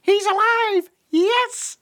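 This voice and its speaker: A storyteller voicing a tiny, cheeky, excitable osprey chick with a small squeaky voice. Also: very slow, exaggerated voice. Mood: excited.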